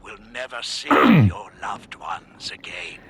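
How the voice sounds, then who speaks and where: A man's voice: fairly low pitch, wildly swooping pitch, fairly bright tone, heavily acted. A man playing a haughty, menacing villain mastermind in a video game, with a theatrical, imperious delivery. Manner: theatrical, imperious, deliberate enunciation.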